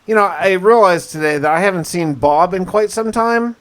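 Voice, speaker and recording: A man's voice: sits high, moderate pace, a bit bright, clean tone. A man giving a casual first-person gameplay narration in a conversational, informal, musing way. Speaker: gaming video narrator.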